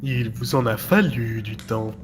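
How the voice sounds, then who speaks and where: A man acting a mocking, menacing director in an audio drama, with deliberate, theatrical taunts. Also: mid-range voice, close to an everyday voice. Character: mocking, menacing male director, hero's forgotten other self. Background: music.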